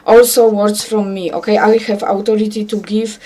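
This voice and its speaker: A woman's voice: mid-range voice, little pitch movement, moderate pace, warm tone. A woman from Poland with a Polish accent and Polish as her first language, giving an earnest religious monologue to camera. She repeats herself often and keeps checking in with "okay?". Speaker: self-described prophet.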